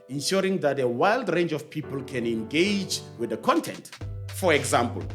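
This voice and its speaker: A South African man narrates a scripted explainer as its host. His voice sits a little high and moves with lively intonation. He keeps a measured presenter's delivery and leans on key phrases, with music playing underneath. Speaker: host of a series on radio.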